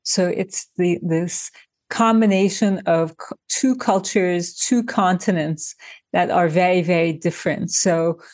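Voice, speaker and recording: A woman's voice: on the low side, steady tone, measured pace, darkish tone, dry recording. A woman from Turkey being interviewed, explaining her ideas thoughtfully through examples, with frequent restarts. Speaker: designer and author, interview guest. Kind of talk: reflective interview conversation.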